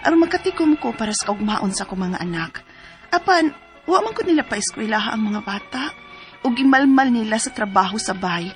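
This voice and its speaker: A woman's voice: on the high side, animated, brisk, natural voice. A woman with a Cebuano accent acting an anguished battered mother who pines for her children in a radio drama. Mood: pleading. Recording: background music under the voice.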